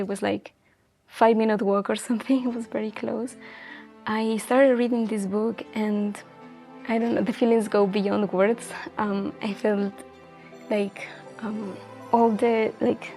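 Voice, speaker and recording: A woman's voice: mid-range voice, vocal fry, darkish tone. A woman in her twenties from Ecuador, speaking as a guest sharing her personal story in an earnest, reflective way with many fillers. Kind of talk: personal testimony in a casual chat.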